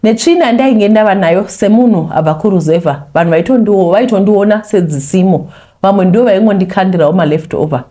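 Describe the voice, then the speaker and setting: A woman from Zimbabwe, a host who chats animatedly with viewers and then reads a confession aloud. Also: a little fast. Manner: animated, talks straight to viewers, voice leaps high when scolding. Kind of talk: chatty intro, then reading a confession aloud.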